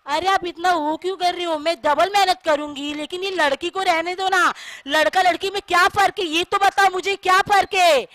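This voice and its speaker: A gentle young wife acted out by a woman talks in a high, bright voice. She coaxes and reasons in stage-skit dialogue, pressing her point with pleading questions. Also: steady tone, moderate pace, hardly any pauses, no echo.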